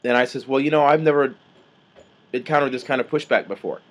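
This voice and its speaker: A man with a mid-range, slightly rough and husky voice is making a complaint by phone. He is assertive and argumentative, pressing his points in informal, indignant language. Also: mid-range voice, fairly quick. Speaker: complaining caller, authorized third-party contact. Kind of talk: recorded customer complaint phone call.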